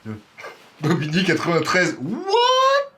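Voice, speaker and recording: A man's voice: high voice, wildly swooping pitch, slow pace, bright, forceful tone. A man from Paris giving live reaction commentary on a rap battle, exclamatory and teasing. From Paris, France.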